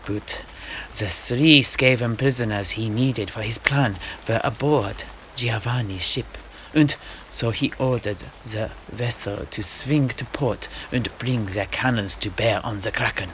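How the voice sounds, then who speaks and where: A man playing a genial old-world seafarer who recounts his grandfather's voyages in measured storyteller's phrasing. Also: mid-range voice, fairly bright tone, a little hiss or hum, lightly acted, rough recording. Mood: dramatic. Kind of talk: in-character fantasy storytelling. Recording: music playing under the voice.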